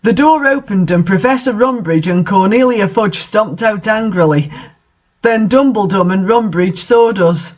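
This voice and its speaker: A man's voice: high-pitched, a little slow, muffled recording. A man reading a story aloud and commenting on it in a mocking, exasperated way. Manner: exasperated, sardonic. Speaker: reader and commentator of bad fan fiction.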